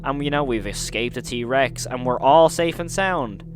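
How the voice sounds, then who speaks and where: A man's voice: mid-range voice, a little slow. Man narrating horror recaps over noticeable hum. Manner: emphatic storytelling with repeated intensifiers. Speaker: video host and narrator. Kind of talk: casual recap commentary on horror videos.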